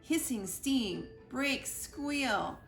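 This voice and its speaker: A woman reading a children's picture book aloud in an expressive storytime style, with deliberate, playful emphasis on the rhyming words. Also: high voice, vocal fry. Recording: roomy echo with music behind. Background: music.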